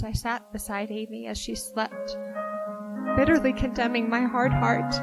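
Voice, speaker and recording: A woman's voice: on the high side, poor audio. A woman playing a hot-tempered, bossy teenage sister in a 1940s-style radio drama, theatrical and emphatic. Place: live theater stage.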